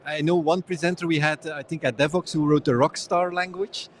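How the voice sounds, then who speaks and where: Guy interviewing at a tech conference, slightly high voice, friendly and curious. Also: fairly even intonation, a little fast. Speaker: interviewer, host. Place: conference venue.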